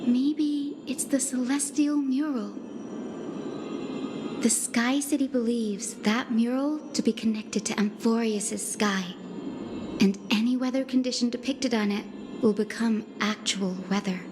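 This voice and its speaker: A woman voicing a composed, knowledgeable and gently reverent guide in a fantasy video game, calmly explaining. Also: a little high, a little slow, background noise, close to an everyday voice. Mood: calm, explanatory. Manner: calm, explanatory. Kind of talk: video game story dialogue. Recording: long hall reverb, clear background ambience.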